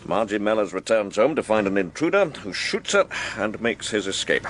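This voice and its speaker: An actor playing a senior male detective leading his team in a radio crime drama, brisk, questioning and authoritative. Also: fairly low pitch, big pitch swings, fairly bright tone, a little hiss or hum. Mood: authoritative, inquiring.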